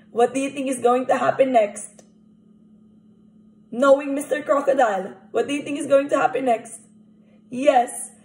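A young woman teacher leading an interactive picture-book read-aloud, animated and asking children questions directly. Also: sits high. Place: small indoor room at home. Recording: echoey room recording.

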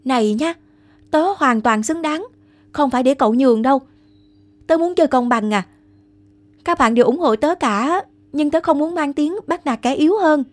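A woman's voice: high voice, expressive, normal speed, pauses often, no echo. A woman voicing a boastful, challenging young rabbit in a children's cartoon. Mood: proud, defiant. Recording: music behind the voice.

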